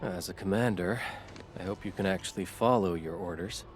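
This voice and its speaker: A man voicing a steady, reassuring young commander in a video game. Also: low voice, normal speed, music in the background, close to an everyday voice. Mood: calm, firm.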